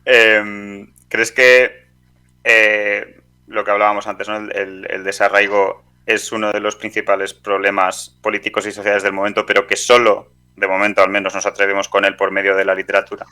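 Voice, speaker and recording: A man with an accent from Spain, hosting an interview with long, prepared questions delivered in halting phrases. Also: on the low side, monotone, bright, forceful tone, clean tone. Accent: Spanish from Spain. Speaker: podcast host, interviewer.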